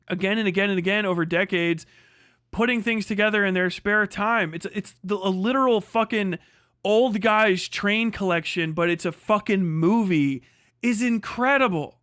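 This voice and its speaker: A man's voice: sits high, normal speed, a bit bright, smooth, dry recording, studio quality. A man hosting a livestream, giving an unscripted, opinionated rant in an emphatic, repetitive, heavily profane way. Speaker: livestream host.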